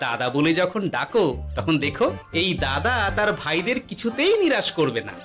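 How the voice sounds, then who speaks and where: Cartoon court jester, clever and teasing, very animated delivery, music underneath. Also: medium pitch, moderate pace. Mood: reassuring, firm. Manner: persuasive, wry, expressive. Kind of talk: cartoon voice acting.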